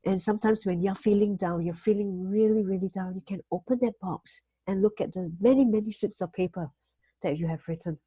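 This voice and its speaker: A woman from Singapore, hosting a motivational talk and giving weekly life tips in a gentle, measured way with frequent fillers. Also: mid-range voice, breathy edge, no room sound.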